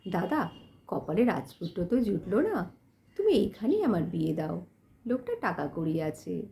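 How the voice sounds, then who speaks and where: A woman's voice: fairly low pitch, very animated, moderate pace, gravelly, mellow, a little hiss or hum. A woman voicing a practical younger sister in a storybook narration, pleading and reasoning with resigned persistence. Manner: reasoning, coaxing.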